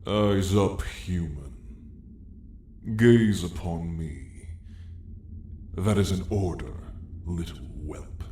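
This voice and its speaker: A man voicing an ancient, arrogant dragon roused in his lair, speaking with slow, imperious menace in an ASMR roleplay story. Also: deep voice, mellow, pitched below the performer's own voice. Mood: commanding, haughty. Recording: echoing cavernous sound, faint noise.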